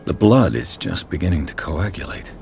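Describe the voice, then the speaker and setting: A man playing a cool, measured private detective who questions people in a video game. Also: low-pitched, big pitch swings, creaky, a little mellow, full character voice. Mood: measured, curious. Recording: faint ambient noise behind.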